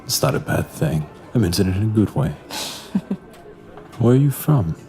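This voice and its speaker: A very low-voiced, lustful barfly broods his way through a noir audio drama. His breathy delivery is slow and gravelly, and music plays behind him. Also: very animated, mellow. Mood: flirting. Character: hard-drinking, lustful, brooding barfly Adam narrating noir-style.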